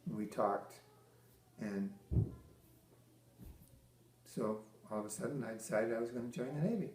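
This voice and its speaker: An older man from Massachusetts, a military veteran, answering interview questions with halting restarts, many fillers and dry teasing humor. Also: medium pitch, darkish tone. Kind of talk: oral history interview. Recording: echoey room.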